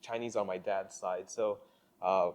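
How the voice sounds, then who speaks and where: Young man from the Philippines, low voice. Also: fairly even intonation, darkish tone. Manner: measured, hesitant, many fillers. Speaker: podcast host. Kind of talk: casual interview conversation.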